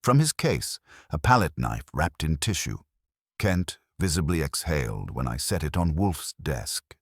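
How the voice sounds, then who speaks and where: Very low male voice, lively pitch movement, measured dry storytelling, close dry recording. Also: husky, no echo. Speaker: audiobook narrator. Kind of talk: scripted first-person detective story narration.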